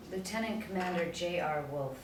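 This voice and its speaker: Woman as a formal FBI agent, low voice. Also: normal speed, close to an everyday voice. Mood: formal, dutiful. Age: young adult. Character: female FBI agent, formal, dutiful, questioning. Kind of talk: script table reading. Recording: echoey room, distant mic, background noise.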